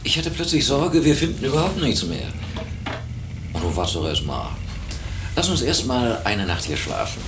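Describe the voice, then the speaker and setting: An actor playing a casual, coaxing German holiday boyfriend in a naturalistic radio drama. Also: male voice, medium pitch, huge pitch swings, normal speed. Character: German holiday traveler Frank, impulsive, amorous, teasing boyfriend. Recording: noisy cassette tape of radio play.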